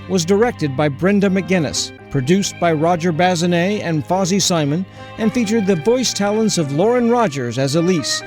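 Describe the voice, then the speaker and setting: Guy reading podcast credits from a script, a bit higher pitched, soft music behind. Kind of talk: scripted narration.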